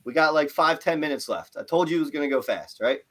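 A male podcast host with a fairly high voice chats casually with his guest. He talks in an animated, rambling way and keeps checking in with "right?". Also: fast talker. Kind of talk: casual interview chat.

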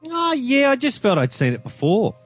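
A man's voice: very high-pitched, huge pitch swings, moderate pace, breathy edge, faint music behind. A man with an Australian accent giving his opinions in a casual film discussion, emphatic and reasoning aloud as he goes. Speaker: podcast guest reviewing a film.

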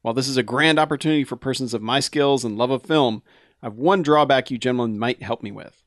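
Casual podcast host, male, mid-pitched, easygoing, dry close-mic sound. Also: no room sound. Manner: relaxed, laughs often, slows down when reading emails. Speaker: podcast host reading listener mail.

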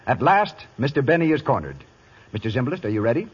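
A male radio host with a husky voice, announcing in 1930s style. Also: mid-range voice, big pitch swings, fast. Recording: dull old radio broadcast with faint music.

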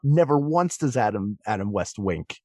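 A man's voice: on the low side, sing-song, normal speed, dark tone, no room sound, clean studio sound. A man talking as a guest in a casual discussion, explaining things and rephrasing as he goes. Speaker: podcast guest, performer.

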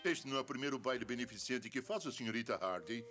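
A man's voice: medium pitch, lively intonation, fast talker, a bit bright, lightly acted. A man with a Brazilian accent playing a brusque, tight-fisted older newspaper boss in a dubbed cartoon, blustering and formal. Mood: grumbling. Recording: old cartoon dub with music.

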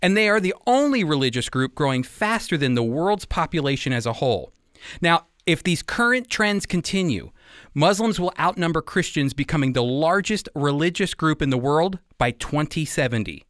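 A man's voice: on the high side, animated, normal speed, fairly bright tone, dry recording, clean studio sound. An American man hosting and interviewing on a radio program, speaking as a presenter. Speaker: radio show host.

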